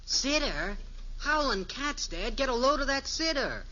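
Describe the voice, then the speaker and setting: A man's voice: extremely high pitch, sing-song, measured pace, exaggerated voice. A male actor playing a brash, slangy teenage son in a 1950s radio sitcom, cheeky and given to whining. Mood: astonished, urgent. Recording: noisy, muffled old radio recording.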